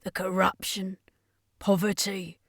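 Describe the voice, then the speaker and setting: A woman voicing a world-weary but tender lady guardian in a narrated story, speaking with soft regret. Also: mid-range voice, flat delivery, slow, gravelly, no echo, studio quality. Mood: regretful, resigned.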